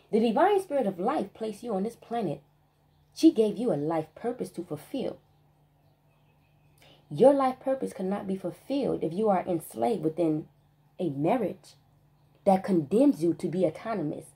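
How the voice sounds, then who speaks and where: A woman vlogger in a spiritual rant monologue, emphatic and profane, stressing single words with long pauses. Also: mid-range voice, mellow, breathy edge.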